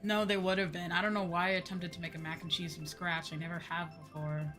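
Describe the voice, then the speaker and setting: A woman chatting casually as a livestream host while gaming, expressive and free with swearing. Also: lowish voice, fast. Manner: expressive, swears freely, mock-grumbling asides. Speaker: livestream host, virtual streamer. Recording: game music behind the voice. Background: video game music.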